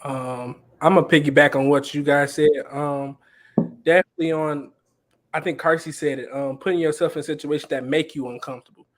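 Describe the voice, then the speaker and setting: Brief young man, slightly high pitch, relaxed storyteller, casual panel talk. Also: fairly quick. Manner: relaxed, storytelling, builds on others. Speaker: church musician and music director.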